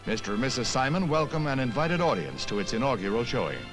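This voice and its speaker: A man's voice: mid-range voice, very animated, fast talker. An American man reading scripted 1960s newsreel narration in a punchy, wry manner, on an old film soundtrack. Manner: punchy, wry, punning delivery. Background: music.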